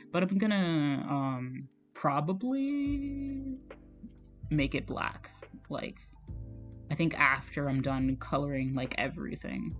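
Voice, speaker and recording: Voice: medium pitch, muffled recording. A digital artist streaming, rambling casually while drawing, with a slightly strained, scratchy throat, chatty and self-conscious, laughing often and swearing casually.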